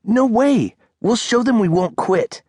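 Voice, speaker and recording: A woman's voice: on the high side, lively intonation, measured pace, a little mellow, husky, no room sound, studio quality. A woman voicing a bold, stubborn, adventurous young boy in an audiobook story, speaking with confidence and urgency. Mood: urgent. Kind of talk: audiobook character acting.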